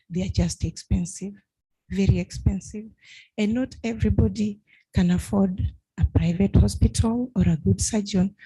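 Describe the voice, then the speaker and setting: Woman, 50s, fading husky voice, dark tone, close dry sound; earnest patient account. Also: mid-range voice, no room sound, rough recording. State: losing her voice. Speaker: patient asking a question. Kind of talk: personal account at a health forum.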